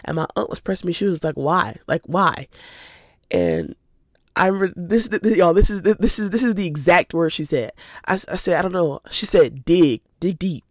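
A woman's voice: lowish voice, sing-song, moderate pace, no room sound, clean studio sound. An eighteen-year-old woman from Atlanta hosting her own podcast in casual, rambling chat, sounding stuffy from a cold. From Atlanta, Georgia. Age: teens. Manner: chatty, rambling, laughs easily. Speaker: podcast host.

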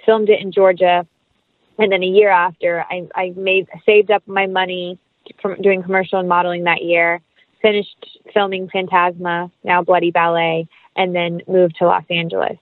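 A woman's voice: lowish voice, little pitch movement, normal speed, dry recording, narrow, tinny audio. An American woman speaking effusively and casually as a guest in an interview. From North Carolina, United States. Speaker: guest actress.

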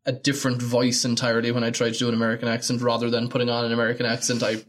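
A man's voice: mid-range voice, monotone, rapid-fire. Chatty Irish man in his twenties. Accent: Irish, from Galway. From Ireland. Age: 20s. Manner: chatty storyteller, acts out quoted people in raised voices. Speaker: podcast co-host. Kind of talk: casual podcast chat.

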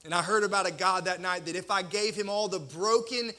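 Bright-voiced male preacher with a slight stutter. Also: high voice. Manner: colloquial, self-deprecating, dramatic pauses and emphasis. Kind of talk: personal testimony sermon to an audience.

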